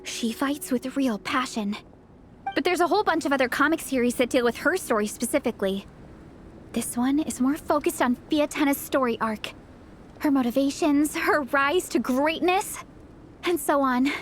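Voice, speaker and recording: A woman's voice: high-pitched, normal speed, a little hiss or hum, close to an everyday voice. A woman playing a cheerful, earnest young female fan club captain in a video game, enthusiastic and encouraging. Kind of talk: video game character acting.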